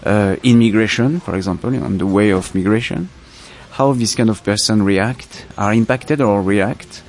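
Man, French accent, lowish voice, halting, thoughtful, festival interview guest. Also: a little mellow. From France. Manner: halting, searching for words, reflective.

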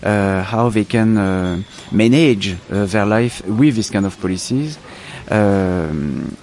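French-accented filmmaker, fairly low voice, reflective, stops often to find his words. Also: animated, darkish tone, slight background noise. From France. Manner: halting, searching for words, reflective. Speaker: filmmaker, interview guest. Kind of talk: festival interview.

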